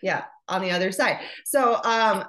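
A woman's voice: mid-range voice, unhurried, fairly bright tone. A woman podcast host chatting casually and frankly, laughing often and swearing now and then.

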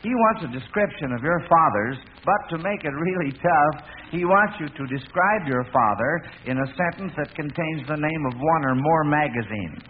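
A man's voice: on the high side, animated, normal speed, hardly any pauses. A man hosting an old radio quiz with children in a folksy, encouraging manner, repeating their answers. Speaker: quiz host. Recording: tinny, hissy old radio broadcast.

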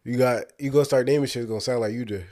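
A deep-voiced man co-hosting a casual podcast, laid-back and jokey. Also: rapid-fire. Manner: laid-back, jokey, lively swings in pitch. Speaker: podcast co-host. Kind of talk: casual banter.

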